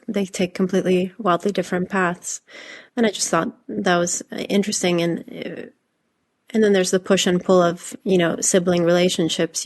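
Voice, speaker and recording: Woman, novelist from Hungary, lowish pitch, gravelly fry, reflective interview answers. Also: a little mellow. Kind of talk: conversational literary interview.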